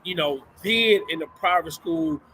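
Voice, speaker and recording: A man's voice: fairly high pitch, measured pace, bright, forceful tone, a little hiss or hum, poor audio. A man hosting a basketball interview, asking questions loosely and filling his speech with "you know what I'm saying". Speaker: show host and coach.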